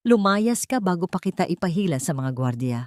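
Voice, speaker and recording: A woman's voice: sing-song, a little fast, no echo, studio quality. A woman voicing a venomous, haughty old rich matriarch who threatens with cruel contempt in an audiobook drama. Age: older. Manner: low, hissing, each word pressed out.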